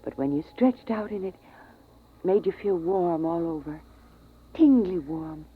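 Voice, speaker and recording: Distraught American mother, dreamy, tremulous, drawn-out delivery, tinny 1950s radio sound. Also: on the low side, lively intonation, husky. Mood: dreamy, longing. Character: fragile, yearning, distraught mother lost in memories. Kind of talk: 1950s radio drama acting. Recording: muffled, tinny vintage radio recording.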